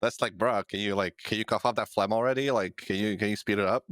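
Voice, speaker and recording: A man with a deep, low voice chats casually as a podcast co-host. His talk is profane and full of jokes, and he laughs often. The sound is close and dry, with no room echo. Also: fairly even intonation, fast talker, a bit bright. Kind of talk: casual chat.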